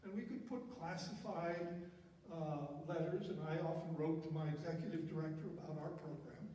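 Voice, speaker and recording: A man's voice: a little high, fairly even intonation, normal speed, mellow. An older man telling personal career stories to an audience in an emphatic way, often repeating words for effect. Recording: very echoey hall, distant mic, faint noise.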